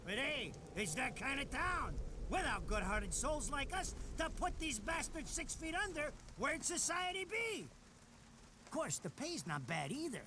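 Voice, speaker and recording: Shrill wisecracking wiseguy. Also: male voice, very animated, measured pace, noisy background, exaggerated voice. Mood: cocky, griping. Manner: wisecracking, whiny, profane, slangy. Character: squat, nervous, foul-mouthed wiseguy henchman, talkative and cowardly. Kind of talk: animated video game cutscene acting.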